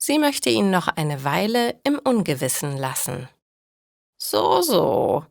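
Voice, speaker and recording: A woman's voice: lowish voice, sing-song, normal speed, vocal fry, dry recording, studio quality. A woman narrating scripted stories for language learners, with careful, didactic enunciation.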